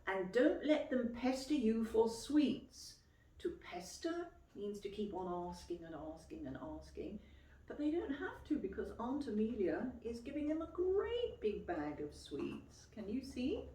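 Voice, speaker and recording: A woman reading a picture book aloud to young children as a teacher would, expressively and clearly phrased, pausing to explain and ask questions. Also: on the high side, sing-song, dark tone. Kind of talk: children's storytime read-aloud with questions to listeners. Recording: roomy, echoey, distant microphone.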